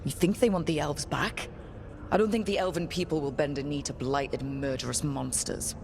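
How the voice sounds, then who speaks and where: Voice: mid-range voice, fairly even intonation, normal speed, gravelly, noisy background, natural voice. An actor playing a determined, blunt team leader in a fantasy video game, direct and plain-spoken. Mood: questioning. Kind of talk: video game cutscene acting.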